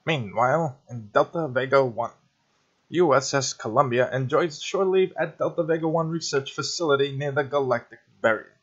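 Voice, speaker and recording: A man's voice: mid-range voice, normal speed, highs cut off. A man reading scripted news reports as a narrator. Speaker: news reader.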